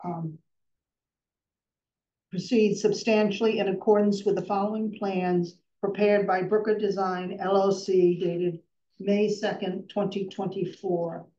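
A middle-aged woman chairing a town zoning board, reading out motions slowly and carefully in a formal tone. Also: mid-range voice, level tone, warm tone. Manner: measured, reads legal text slowly and carefully. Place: town hall meeting room. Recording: distant, dull echoey public-access meeting audio.

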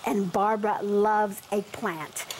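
A woman's voice: medium pitch, fairly even intonation, slow, noisy background. A woman in her fifties, a guest chef demonstrating a recipe in a TV studio kitchen, enthusiastic and sprinkling in Italian words. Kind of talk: cooking demonstration.

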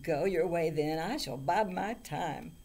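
A woman voicing a wicked, greedy storybook troll with a menacing, booming, chanting delivery. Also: a little slow, darkish tone, husky, exaggerated voice. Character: wicked terrifying male troll, greedy and blustering.

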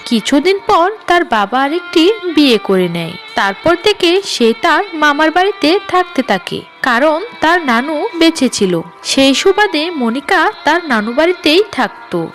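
A woman's voice: sits high, very animated, measured pace, few pauses, fairly bright tone, soft background music. A woman narrating a scripted cartoon moral story as a storyteller.

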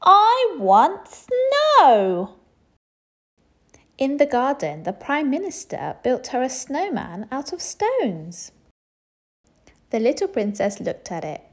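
Female storybook narrator: expressive read-aloud for children, animated intonation, unhurried pace. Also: sits high. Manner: expressive, addresses young listeners directly. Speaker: storybook reader.

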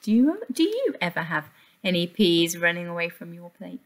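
A woman's voice: lowish voice, sing-song, moderate pace. A woman reads a picture book to young children with sing-song emphasis, like an infant teacher. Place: small indoor classroom.

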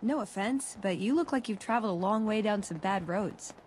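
A woman's voice: medium pitch, fairly quick, darkish tone, slight background noise, close to an everyday voice. A woman playing a friendly, wry young scavenger companion in a video game, chatty and playful. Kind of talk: video game dialogue acting.

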